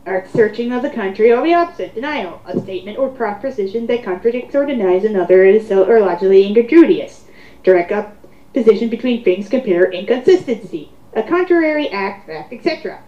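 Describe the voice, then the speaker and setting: A woman's voice: medium pitch, animated, brisk. A woman reading online comments aloud in a sarcastic, theatrical way, with mocking commentary. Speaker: host of a dramatic reading. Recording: roomy, muffled home recording.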